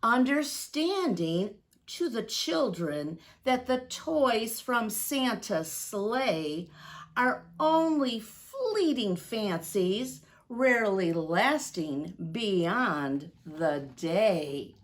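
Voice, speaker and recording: A woman's voice: medium pitch, sing-song, very slow. A woman voicing a humble, devout old Santa in a storybook, praying in a reverent, pleading manner. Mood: earnest, reverent.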